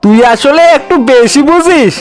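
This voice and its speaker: A man's voice: very high-pitched, animated, moderate pace. A man voicing a bossy, boastful fox thief in a comic cartoon, blustering and scolding. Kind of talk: comic Bengali cartoon dialogue.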